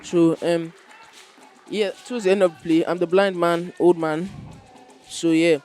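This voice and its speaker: A young man with a Nigerian accent explaining his stage role in an informal interview, in a halting way. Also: fairly high pitch, little pitch movement, darkish tone. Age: teenager or young adult. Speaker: student actor in a school play. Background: music.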